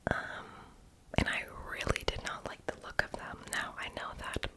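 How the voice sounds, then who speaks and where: A young adult whispering a casual, rambling ASMR voiceover, breathy and unvoiced, with soft mouth sounds. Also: normal speed. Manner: casual, hesitant rambling with many likes. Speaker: ASMR video creator.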